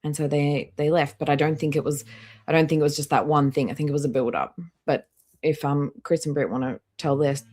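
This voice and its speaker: Woman, low-pitched voice, casual live-stream commentary, disapproving and dry, reads out chat messages. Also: fairly even intonation, a little fast, a little mellow.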